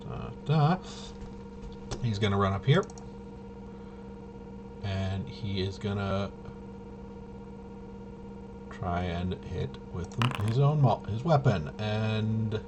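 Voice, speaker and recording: A man thinking aloud through a tabletop game walkthrough, casually muttering to himself in a halting way and trailing off. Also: fairly low pitch, noisy background. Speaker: solo tabletop RPG player demonstrating gameplay.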